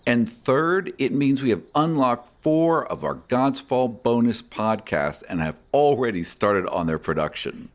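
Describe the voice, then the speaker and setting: A man speaking as a podcast host and game master, delivering a scripted announcement. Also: mid-range voice.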